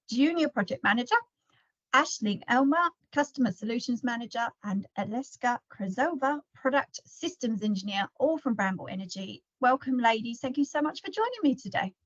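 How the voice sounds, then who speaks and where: An upbeat woman hosts a panel talk with a fairly high voice. She sounds close and dry, with no room around her. The line is dull and lo-fi. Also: dry recording. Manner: upbeat, welcoming. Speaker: podcast host.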